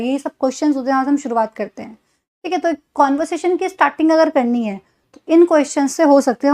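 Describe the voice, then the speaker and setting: Indian lady teacher, high voice, slow careful examples for beginners. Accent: Indian English. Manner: patient, explains step by step, repeats example sentences slowly. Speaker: English teacher. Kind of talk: spoken English lesson in Hindi.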